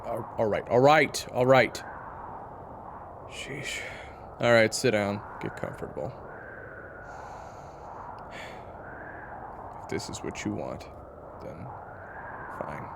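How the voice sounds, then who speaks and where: A man's voice: fairly low pitch, very animated, unhurried, pauses often, heavy background noise. A man playing a brooding, grumpy but caring hunter in an audio roleplay, speaking in a wry, exasperated, conversational way. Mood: flustered, giving in. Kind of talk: intimate audio roleplay acting and storytelling.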